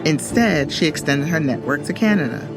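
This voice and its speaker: A woman's voice: deep voice, lively intonation, moderate pace, rough recording. A woman reading a scripted story for children as its narrator. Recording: music under the voice. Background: background music.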